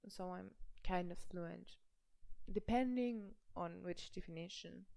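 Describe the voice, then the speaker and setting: A young woman from Germany with a German accent, speaking slowly and carefully to the camera in a personal language vlog. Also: a little high, gravelly, darkish tone, no room sound. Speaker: language vlogger. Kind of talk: slow personal vlog talk.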